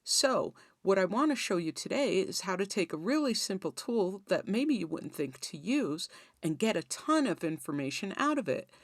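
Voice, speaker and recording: A woman's voice: mid-range voice, very animated, normal speed, no room sound, clean studio sound. A woman hosting a tutorial, explaining things in a conversational, instructional way. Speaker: host of songwriting tutorial.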